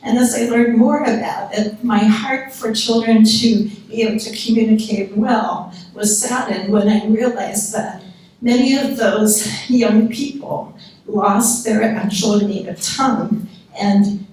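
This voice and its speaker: A soft-spoken woman with a Pennsylvania accent, giving an earnest public history lecture into a microphone. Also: fairly high pitch, steady tone, slow. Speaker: guest lecturer. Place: lecture hall. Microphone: handheld or podium microphone. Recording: echoey hall, picked up from a distance.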